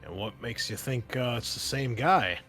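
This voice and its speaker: A man's voice: medium pitch, moderate pace, fairly bright tone, faint music behind, close to an everyday voice. A man voicing a courteous, businesslike older marshal, tall and well-built, in a tabletop game.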